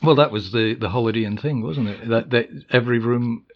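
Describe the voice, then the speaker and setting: A male podcast host with a mid-pitched voice who keeps the chat light and casual. He laughs easily and drops in short asides and questions. The audio is dull and lo-fi. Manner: chatty, quick to laugh, many short backchannels.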